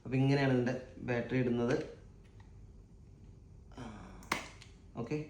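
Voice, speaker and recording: A man talks casually through an unboxing in a very echoey room with faint noise behind him. His voice sits at a middling pitch, and he works things out aloud without a script. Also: flat delivery, measured pace. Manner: unscripted, thinking aloud. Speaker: vlogger.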